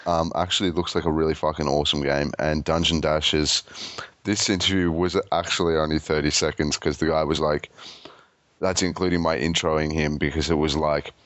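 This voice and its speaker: A man hosting a podcast and doing quick interviews, casual and given to swearing and laughter. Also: a bass voice, steady tone, fairly bright tone, dry recording, dull audio. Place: busy convention floor.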